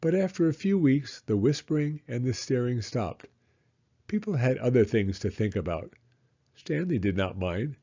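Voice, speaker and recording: A man reading a children's story aloud in a deliberate, expressive storytelling style. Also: mid-range voice, husky, dull audio. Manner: deliberate, acts out character voices. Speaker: children's audiobook reader.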